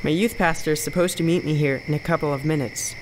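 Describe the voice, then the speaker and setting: A concerned, friendly young church youth acted by a man with an American accent, in a radio play. His voice is fairly high and gently inquisitive, with faint noise behind it. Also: fairly even intonation, fast, close to an everyday voice, low-quality recording. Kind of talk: amateur radio drama acting.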